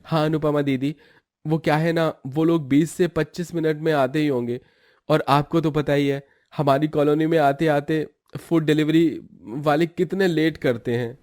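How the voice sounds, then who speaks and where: A man's voice: on the high side, fairly even intonation, normal speed, a little mellow, natural voice. An actor playing a friendly young male neighbour in a cartoon story, casual and polite. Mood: requesting.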